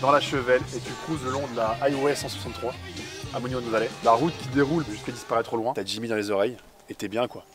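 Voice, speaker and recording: Man, co-host, mid-pitched voice, easygoing enthusiastic chat, clear music underneath. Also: quick pace. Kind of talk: casual chat about cars and music.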